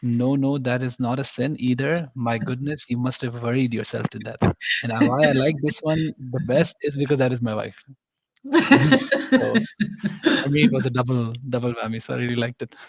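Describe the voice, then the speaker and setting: Pakistani-born man, mid pitch, dry sound, joking, video call. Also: expressive, normal speed, gravelly, dry recording, low-quality recording. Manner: friendly, joking. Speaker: discussion participant. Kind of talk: casual introduction.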